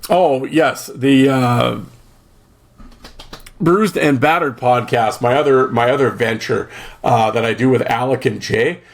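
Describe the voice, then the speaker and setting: A man with a gravelly, mid-pitched voice talks on his own podcast, loose and rambling, with plenty of fillers and dry jokes. His voice is going, so he keeps clearing his throat between thoughts. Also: a little slow. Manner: rambling, chatty, joking, lots of fillers. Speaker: podcast host. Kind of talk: casual solo podcast chat.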